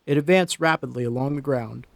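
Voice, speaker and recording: A man's voice: medium pitch, brisk, creaky, a little hiss or hum. A man narrating a dramatic short story from a script.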